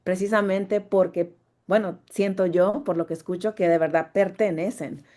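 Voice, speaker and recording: A woman's voice: on the low side, fairly even intonation, moderate pace, dark tone. A woman who teaches voice, speaking thoughtfully on a video call, searching for her words and restarting often.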